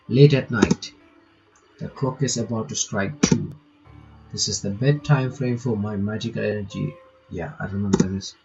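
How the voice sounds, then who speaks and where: A man doing a visual novel playthrough, reading the text aloud with casual asides, a bit halting and stumbling over words. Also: fairly low pitch, gravelly. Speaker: reaction channel host. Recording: dull lo-fi mic, faint background noise.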